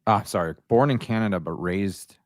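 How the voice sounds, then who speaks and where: Lower-voiced male host asking short questions. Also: normal speed, no echo, studio quality. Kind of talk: interview questions.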